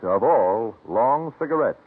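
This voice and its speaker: A man's voice: medium pitch, animated, a little slow, slightly rough. An American man announcing a 1950s radio commercial with an emphatic, polished sales delivery. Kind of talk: 1950s radio advertising pitch. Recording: narrow-band old radio broadcast.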